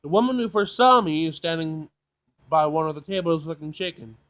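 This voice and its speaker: A man's voice: a little high, animated, brisk, a bit bright. A man hosting a let's play, reading game text aloud expressively, stumbling and rereading, and adding his own commentary. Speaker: gaming video host. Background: game music.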